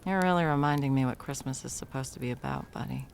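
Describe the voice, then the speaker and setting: A woman playing a sarcastic, self-doubting, hesitant Christmas elf in an intimate ASMR audio roleplay, with a creaky voice. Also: a bass voice, fast talker, darkish tone, a little hiss or hum. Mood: soft, rueful.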